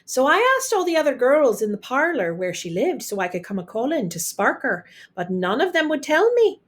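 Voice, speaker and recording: A woman's voice: high-pitched, sing-song, normal speed, voice pushed up. Storybook Irish dad, acted by a woman, lilting, theatrical, romantic, fond teller of tall tales. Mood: fond storytelling. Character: Irish father, warm grand storyteller, wistful romantic.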